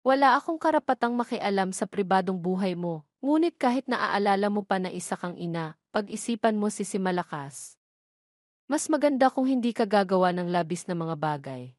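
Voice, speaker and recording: A woman's voice: mid-range voice, lively intonation, moderate pace, no echo, studio quality, close to an everyday voice. A woman voicing a stern, jealous father who scolds reproachfully in an audio story. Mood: angry scolding.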